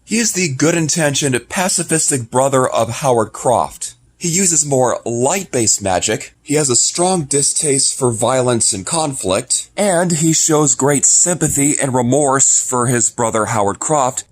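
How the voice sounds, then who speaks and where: Regular guy, medium pitch, talking thru an announcement like a hobby video creator. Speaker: amateur video creator and voice actor. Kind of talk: casual scripted-feeling vlog announcement.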